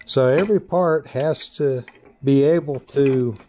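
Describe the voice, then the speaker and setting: An older man in his sixties or beyond, informally explaining and demonstrating products in deliberate, halting phrases with pauses. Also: mid-range voice, mellow, slight background noise. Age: 60s or older. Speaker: maker presenting his 3D printed products. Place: small indoor workshop.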